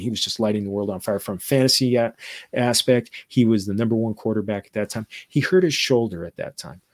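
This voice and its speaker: A man's voice: fairly low pitch, no echo. A man hosting a podcast, chatting casually, slipping Spanish words into his English between frequent fillers. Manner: mixes Spanish phrases into English, many fillers. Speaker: podcast host. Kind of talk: casual interview chat.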